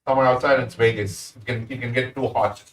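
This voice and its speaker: A man's voice: medium pitch, fairly even intonation, fairly quick, a little hiss or hum. Easygoing Indian man, roomy distant mic. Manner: easygoing, chats with viewers while working. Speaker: streamer. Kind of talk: casual live-stream chat while cooking.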